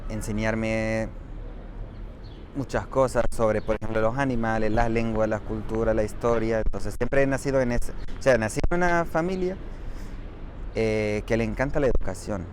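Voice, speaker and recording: A man in his thirties with a fairly low voice, answering interview questions on a city street. He talks thoughtfully and explains at length, often stopping mid-word to correct himself. Faint traffic hums behind him. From Saudi Arabia. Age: 30s. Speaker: interviewee, doctoral student. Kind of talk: casual interview.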